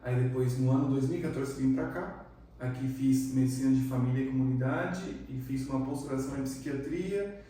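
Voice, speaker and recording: Man, mellow voice, echoey room. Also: mid-range voice, a little fast. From Argentina. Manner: relaxed, reflective, many fillers. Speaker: psychiatrist guest. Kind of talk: casual interview chat. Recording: echoey room, distant mic.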